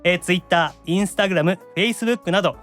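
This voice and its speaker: A talkative man with a Kansai accent hosting a podcast in lively comedic banter, quick with teasing retorts. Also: high-pitched. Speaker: radio show host. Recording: studio voice over background music.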